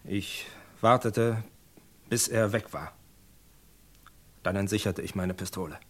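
A man's voice: on the low side, takes it slowly, fairly bright tone. An actor playing a principled, stubborn Western gunman in an old radio drama, speaking tersely and resolutely as he owns up to his crime. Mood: resolute, grim.

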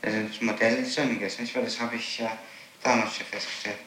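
A man's voice: on the low side, flat delivery, fairly quick. A man working as an interrogator, putting questions in an official interview. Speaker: police interrogator. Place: echoey interrogation room. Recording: very noisy, echoey archival tape.

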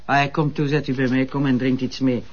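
An actress playing a tipsy, pushy Flemish café keeper, blunt and emphatic, in a naturalistic drama. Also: female voice, a bass voice, little pitch movement, brisk, darkish tone. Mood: brash, pushy. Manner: blunt, bantering, drunkenly emphatic. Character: tipsy, brash, pushy woman running a seedy café. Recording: dull lo-fi broadcast sound.

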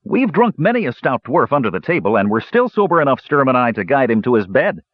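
A man acts a storybook young warrior, the proud older brother who challenges and scolds. His pitch swings widely and the tone is a bit husky. The sound is dull and close. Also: sing-song, fast, no echo. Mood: challenging. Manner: stern, challenging.

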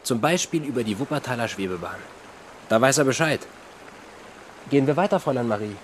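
A man's voice: medium pitch, lively intonation, a little fast, slight background noise, lightly acted. An actor playing a jovial, teasing man who courts women politely in a German radio drama.

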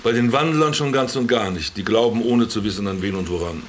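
A man's voice: on the low side, fairly quick, slight background noise, close to an everyday voice. An actor playing a world-weary exiled man in a radio drama, dryly ironic and formal, looking after his companion. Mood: dry, sardonic. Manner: dry, ironic, formal address. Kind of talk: German radio drama dialogue.

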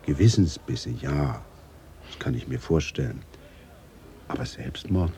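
An actor playing a quiet middle-aged man in a German radio drama, questioning soberly in a raspy, breathy voice. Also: rumbling low, sing-song, measured pace, slight background noise, heavily acted. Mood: pensive, puzzled. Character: quiet, probing middle-aged man seeking his family's truth.

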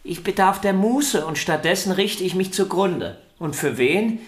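A cocky young violin prodigy, lowish voice, pushy and full of himself, echoey room. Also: female voice, lively intonation, measured pace. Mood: insistent, vain. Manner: arrogant, insistent, theatrical. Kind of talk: radio drama acting. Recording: roomy studio echo.

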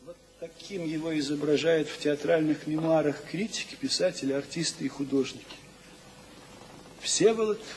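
A pondering storyteller in an audio play. He speaks slowly and deliberately in a somewhat high voice, weighting each phrase. Faint noise sits behind the voice. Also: vocal fry, rough recording. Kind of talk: radio drama narration.

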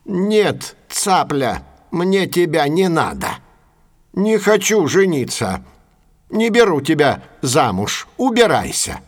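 A man voicing a lonely, proud crane suitor in a folk tale, speaking with slow emphasis. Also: fairly high pitch, sing-song, fairly bright tone, heavily acted. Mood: huffy refusal.

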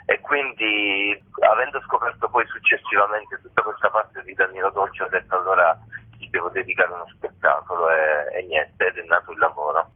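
A man being interviewed as a stage actor, talking haltingly as he searches for words over a phone call. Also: sits low, fairly even intonation, husky. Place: on a phone call to a radio station. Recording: muffled narrow telephone line.

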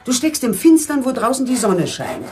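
A woman's voice: on the high side, very animated, normal speed, dark tone, a little hiss or hum. An actress playing a nagging, worried middle-aged mother in a German radio play, fussing and reproachful in manner. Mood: exasperated.

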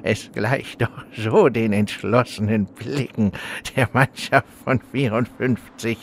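Fantasy boss, male actor: grandiose, theatrical, easily flustered, very drawn-out delivery, slight noise, parody audio play. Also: mid-range voice, fairly even intonation, gravelly. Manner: theatrical, stately.

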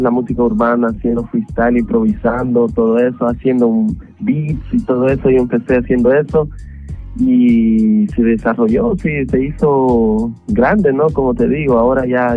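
A man from Guatemala speaks over a tinny, narrow phone line, his voice at an ordinary middle pitch. He talks in a relaxed, conversational way, full of fillers and little tags. Faint music sits underneath. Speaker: musician guest. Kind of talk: radio interview.

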